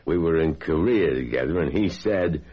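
An actor playing a polite but sly army veteran in a screen drama, hesitant and folksy in manner. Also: male voice, a bass voice, expressive, moderate pace, breathy edge, highs cut off, heavily acted. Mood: friendly, awkward.

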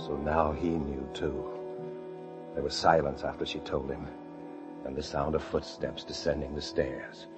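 An actor playing a paranoid fugitive husband, narrating an old-time radio thriller with intense dread. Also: male voice, extremely low pitch, little pitch movement, a little slow. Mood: brooding dread. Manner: intense, breathless dread, swinging from brooding to frantic shouts. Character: desperate, paranoid fugitive husband confined in a locked room. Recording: dull old radio recording with music.

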